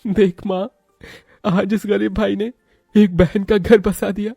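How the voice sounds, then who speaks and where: A man's voice: high voice, flat delivery, takes it slowly, gravelly, warm tone, breathy edge, no room sound, faint music behind, pitched above the performer's own voice, full character voice. A man voicing a humble, devoted poor elder brother in a cartoon moral story, pleading and sorrowful. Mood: emotional, sorrowful. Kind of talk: animated moral-story acting.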